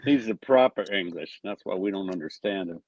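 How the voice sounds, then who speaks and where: A man's voice: low voice, brisk, no room sound. A man in his sixties from the Pittsburgh area, joking and telling stories in an easygoing group chat. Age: 60s. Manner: easygoing, joking, leisurely storytelling. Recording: dull lo-fi voice chat audio.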